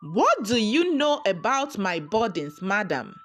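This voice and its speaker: Bitter young village woman played by a female storyteller, wounded and accusing, swinging pitch, folktale. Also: sing-song, slow, fairly bright tone, soft background music, exaggerated voice. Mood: scornful. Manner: accusing, scornful.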